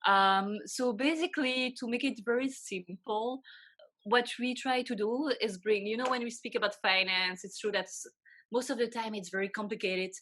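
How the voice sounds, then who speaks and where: A woman's voice: on the high side, a bit bright. A pregnant woman in her thirties from Belgium with a French accent, earnestly explaining finance in a casual interview. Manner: quick, earnest, restarts sentences. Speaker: guest, financial advisor.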